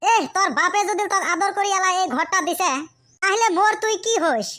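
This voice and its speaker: A man's voice: very high voice, brisk, pushed and bright. A man voicing a cheeky, scheming village son in a comic cartoon. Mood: defiant. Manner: cheeky, argumentative.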